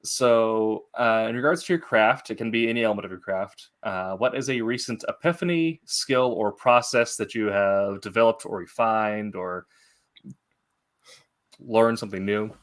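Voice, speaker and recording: Low-voiced man hosting a casual interview. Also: moderate pace. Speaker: interview host, fellow writer. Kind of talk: casual podcast interview chat.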